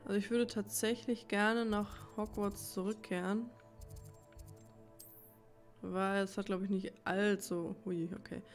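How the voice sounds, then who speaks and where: A woman's voice: medium pitch, flat delivery, unhurried, pauses often. A woman giving casual gameplay commentary, musing to herself in an informal way. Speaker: let's player. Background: game music.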